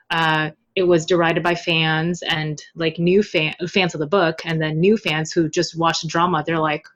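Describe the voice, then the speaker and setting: A woman's voice: on the low side, lo-fi sound. A woman speaking as a podcast guest, chatty and candid in a casual conversational interview. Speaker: podcast guest, web novel translator.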